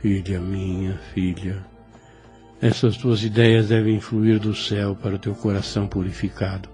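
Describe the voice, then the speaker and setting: A man's voice: lowish voice, level tone, normal speed, warm tone, faint music behind, lightly acted. A Brazilian actor playing a kindly, ailing elderly priest in an audio drama, solemn, fatherly and formal. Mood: fatherly gravity. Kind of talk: Brazilian radio drama acting.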